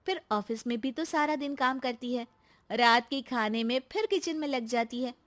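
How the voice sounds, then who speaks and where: A woman voicing a sweet, loyal young housewife in a family story, polite and earnest as she gently protests. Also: sits high, moderate pace. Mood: earnest defending.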